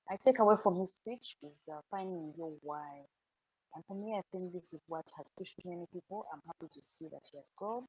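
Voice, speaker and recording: A woman with a Ugandan accent and a husky voice from a cold, speaking haltingly on a video call. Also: on the low side, a little mellow, no room sound. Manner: halting, many fillers. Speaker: club member. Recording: dry, dull video call audio.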